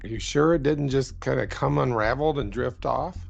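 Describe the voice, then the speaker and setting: An actor playing a level-headed, doubtful sailor in a radio drama, speaking in a measured, dramatic way. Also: male voice, mid-range voice, creaky, highs cut off, faint music behind. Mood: puzzled, uneasy.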